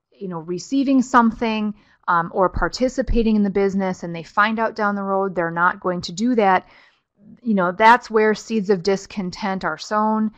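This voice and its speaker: A woman's voice: medium pitch, fairly bright tone. A middle-aged woman giving a webinar presentation, explaining things step by step in a conversational way with frequent fillers. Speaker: webinar presenter on farm estate planning.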